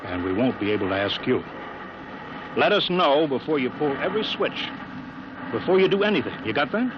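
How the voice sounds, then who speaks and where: An actor playing a serious, cautious American rocket project chief in a 1950s radio drama. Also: male voice, medium pitch, very animated, fairly quick, close to an everyday voice. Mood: firm, insistent. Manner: serious, insistent, businesslike. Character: earnest, worried male rocket project chief, firm and cautious. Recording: muffled, noisy vintage radio recording.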